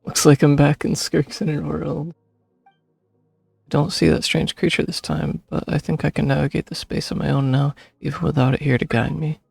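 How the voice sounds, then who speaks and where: A man's voice: on the high side, level tone, moderate pace, gravelly, a little mellow, husky, no room sound, soft background music. A man giving casual commentary as he streams and reacts to a game. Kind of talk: casual gameplay commentary, reading along.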